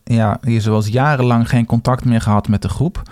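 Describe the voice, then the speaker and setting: A man co-hosting a podcast in casual chat, measured and agreeable, with short affirmations and some hesitant restarts. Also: mid-range voice, steady tone, darkish tone, no room sound. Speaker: podcast co-host.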